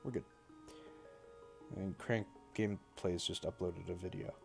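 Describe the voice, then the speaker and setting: A man's voice: deep voice, steady tone, a little slow, gravelly, a little mellow, soft background music. A young man chatting casually on a live stream, a bit congested from a cold, rambling and correcting himself as he goes.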